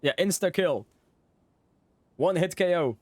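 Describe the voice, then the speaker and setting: A man's voice: high-pitched, measured pace, darkish tone, no echo. A man giving casual, joking live commentary as a game streamer, often repeating his phrases.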